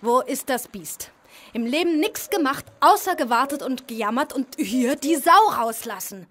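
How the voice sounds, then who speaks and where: An indignant female sergeant in a radio play. She scolds emphatically in a fairly high, very animated voice over faint noise. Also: moderate pace.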